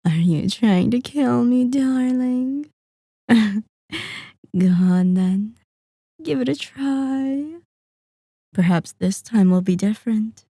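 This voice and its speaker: A woman playing a possessive, sadistic female vampire in an ASMR roleplay, seductive, menacing and condescending, teasing and savoring each phrase with pauses. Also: on the high side, mellow, no room sound, studio quality. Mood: amused, taunting. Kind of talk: scripted ASMR roleplay monologue.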